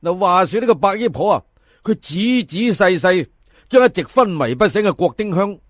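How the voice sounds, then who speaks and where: A man's voice: high voice, sing-song, moderate pace, no room sound, clean studio sound. A man narrating a folk tale in colloquial Cantonese as a storyteller, theatrical, addressing listeners and stretching words for emphasis.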